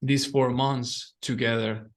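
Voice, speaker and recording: A man's voice: mid-range voice, steady tone, slow. A man, a language coach, interviewing a client and encouraging him with short backchannels. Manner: encouraging, frequent brief backchannels. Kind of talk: interview host.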